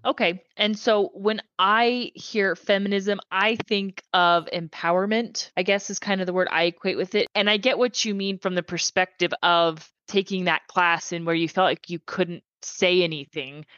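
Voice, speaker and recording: A woman's voice: on the low side, steady tone, normal speed, few pauses, a bit bright, smooth, no room sound, lo-fi sound. A woman co-hosting a casual conversation, leading the discussion in a friendly way. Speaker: podcast co-host.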